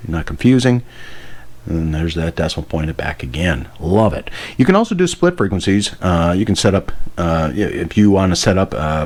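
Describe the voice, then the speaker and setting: American male hobbyist, lowish pitch, informal enthusiastic demo delivery, off the cuff. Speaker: radio hobbyist presenter. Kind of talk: casual hands-on product demonstration.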